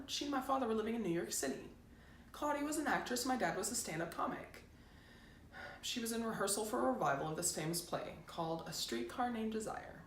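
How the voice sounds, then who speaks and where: A woman's voice: on the high side, a bit bright, far from the mic, voice pushed up. A woman performing a stage monologue as a plucky, defiant teenage girl, chatty and emphatic. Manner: chatty, self-dramatizing, emphatic. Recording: roomy echo, faint background noise.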